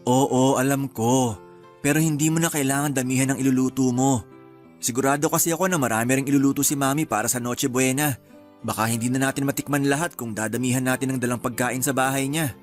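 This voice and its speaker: A man playing a gentle, agreeable young husband in a radio drama, speaking in a soft-spoken, reassuring way. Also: mid-range voice, fairly even intonation, fast talker, soft background music, close to an everyday voice.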